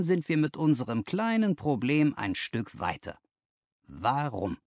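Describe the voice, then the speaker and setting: A man voicing a confident, analytical gentleman detective who lectures emphatically in an audiobook, posing rhetorical questions. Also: a little high, dry recording, clean studio sound. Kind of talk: audiobook character dialogue.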